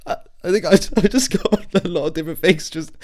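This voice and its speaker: A male actor playing an anxious, overwhelmed young British father, confiding haltingly and apologetically in a naturalistic audio drama. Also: high voice, a little mellow, natural voice. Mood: tearful, overwhelmed.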